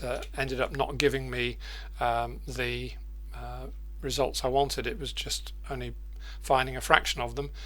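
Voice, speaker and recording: An older man presenting an informal screencast software tutorial, hesitant and thinking aloud as he demonstrates. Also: medium pitch. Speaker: presenter of editing macro tutorials.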